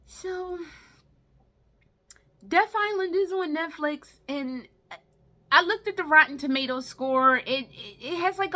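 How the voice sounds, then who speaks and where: A woman's voice: fairly high pitch, sharp tone. A woman giving a casual, ranting movie review as a vlogger, blunt, exasperated and emphatic, swearing heavily. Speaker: video game and movie vlogger.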